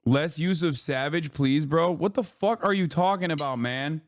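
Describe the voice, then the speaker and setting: A man streaming and reacting to a podcast clip, profane and mocking, getting heated and loud. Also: a little high, normal speed. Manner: profane, mocking, shouting in outrage. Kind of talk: heated live-stream commentary.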